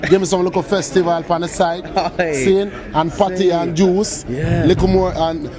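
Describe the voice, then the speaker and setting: A man's voice: sits high, steady tone, moderate pace, music in the background. A man from Ghana chatting playfully in an easygoing way, mixing in local slang.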